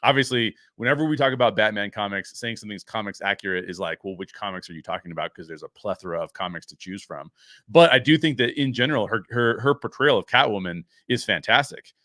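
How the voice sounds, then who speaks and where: A man's voice: on the low side, fast, bright, forceful tone, dry recording. Man giving conversational film commentary, dry close sound. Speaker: host of a pop-culture commentary show.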